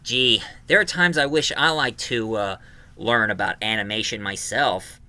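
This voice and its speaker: A man's voice: fairly low pitch, measured pace, sharp tone. A man hosting a casual video review, talking in an enthusiastic, rambling fan's way. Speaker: YouTube reviewer and host.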